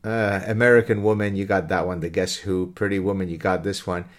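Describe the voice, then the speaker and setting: A man's voice: low voice, level tone, brisk. A middle-aged man from Canada hosting his own channel, talking in a casual mocking monologue and now and then breaking into off-key snippets of song.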